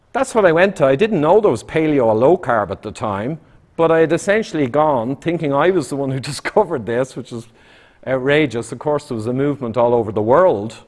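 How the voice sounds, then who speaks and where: A man's voice: medium pitch, a little mellow. Punchy man lecturing a hall. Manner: punchy, emphatic, quick asides. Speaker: public speaker. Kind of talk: lecture to a live audience. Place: town hall, indoors. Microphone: far camera, room sound.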